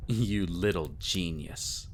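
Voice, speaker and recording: Low, slow, seductive vampire lord. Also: male voice, a little hiss or hum. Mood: courtly, teasing. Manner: theatrical, courtly, teasing. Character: suave sadistic male vampire lord, seductive and menacing. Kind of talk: scripted roleplay audio, intimate dramatic monologue.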